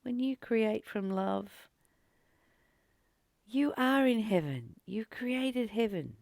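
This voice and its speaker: A woman giving a spiritual teaching, reading and commenting informally in an earnest, deliberate way, with frequent pauses and emphatic repetition. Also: a little high, lively intonation, creaky, a little mellow, dry recording, clean studio sound. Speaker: spiritual teacher and channel host.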